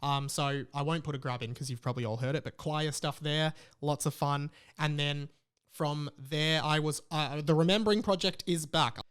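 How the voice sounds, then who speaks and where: A man's voice: a little high, fairly even intonation, moderate pace, a bit bright, clean tone. An Australian man hosting a solo podcast in a casual, rambling and informal way, with exasperated asides. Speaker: podcast host.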